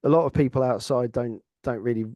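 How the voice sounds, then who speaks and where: A middle-aged man with an English accent, a researcher talking earnestly and haltingly, repeating words as he reflects. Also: mid-range voice, mellow, dry recording. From Lincolnshire, England. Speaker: academic researcher and co-host. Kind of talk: reflective conversational discussion.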